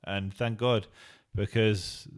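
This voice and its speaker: Measured low-voiced male host. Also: flat delivery. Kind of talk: casual debate conversation.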